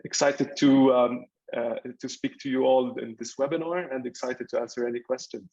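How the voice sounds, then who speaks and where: A man gives an orderly, businesslike introduction as an executive on a webinar panel. His pitch is an ordinary middle range. The video-call audio is dull and lo-fi. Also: steady tone, moderate pace, darkish tone.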